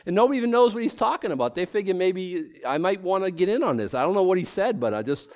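Animated Boston-accented man preaching. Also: high-pitched, fast talker. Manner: rambling, self-deprecating, with frequent restarts. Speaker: pastor. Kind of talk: conversational Bible teaching sermon.

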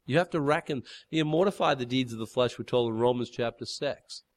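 A man's voice: mid-range voice, fairly quick, no echo. A man preaching an informal sermon in a folksy, rambling way, full of fillers and restarts, with a big voice.

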